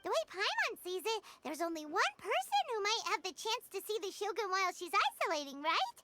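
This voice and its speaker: Excitable fairy sidekick; very high, sing-song, game music behind. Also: female voice, brisk, heavy background noise. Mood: eager. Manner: chirpy, refers to herself in third person. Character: tiny floating female fairy companion, chirpy and excitable. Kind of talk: game cutscene dialogue. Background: game soundtrack music.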